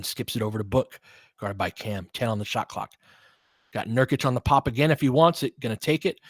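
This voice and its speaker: A man's voice: medium pitch, slightly rough, dry recording. A man giving informal live basketball play-by-play as a fan-facing host, opinionated and conversational, in clipped phrases.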